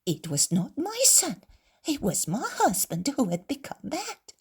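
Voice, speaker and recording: A woman's voice: sits high, huge pitch swings, a little slow, husky, voice pushed up, exaggerated voice. A woman reading a story aloud, voicing a grateful woman who earnestly reassures another. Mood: reassuring.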